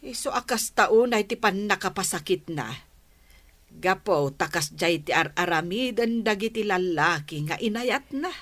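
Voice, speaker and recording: Bright, forceful, scolding confidante. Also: female voice, mid-range voice, lively intonation, moderate pace, dry recording. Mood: worried. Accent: Ilocano. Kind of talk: Ilocano radio drama acting.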